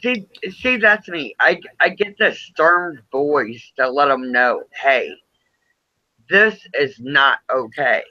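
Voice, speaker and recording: A man's voice: medium pitch, animated, slow pace, no room sound. A man in casual chat, agreeing supportively, on dull lo-fi voice chat audio.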